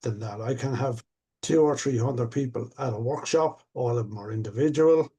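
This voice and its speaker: A man's voice: medium pitch, normal speed, mellow, husky. An older man who works as a stuttering coach, speaking earnestly and reflectively in a casual conversation.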